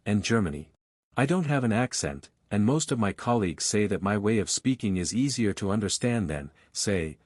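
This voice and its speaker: A man calmly reading aloud a first-person online story. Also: on the low side, dry recording, studio quality. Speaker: narrator.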